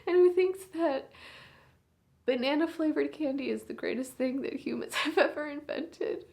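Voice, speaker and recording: A woman performing a monologue as a weary, grieving big sister speaking at her younger sister's bedside, moving between hushed whispers and raised anger. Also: sits high, moderate pace, vocal fry, pitched above the performer's own voice. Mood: angry, fond.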